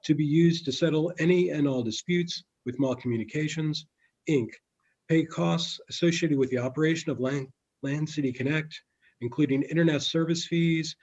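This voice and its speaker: A man's voice: fairly high pitch, normal speed, darkish tone, breathy edge. A man acting as clerk, formally reading legislation and calling the roll in a council meeting. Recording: dull, muffled lo-fi meeting audio.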